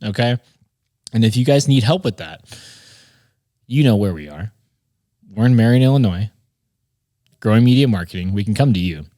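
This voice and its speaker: A man's voice: fairly low pitch, expressive, moderate pace, pauses often, mellow, no echo, clean studio sound. A man hosting a podcast, giving an informal, conversational motivational talk on business and addressing listeners directly. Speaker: podcast host and marketer.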